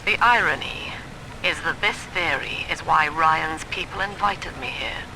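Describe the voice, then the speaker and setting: An actress playing a cool, quietly menacing woman psychiatrist, lecturing formally in a game audio diary. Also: low-pitched, measured pace, gravelly, background noise. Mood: clinical, cold.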